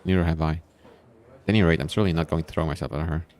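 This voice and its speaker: A man reading the lines of a wry, defiant high-school boy, the hero of a visual novel. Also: steady tone, rapid-fire, a little mellow. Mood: glum, wry.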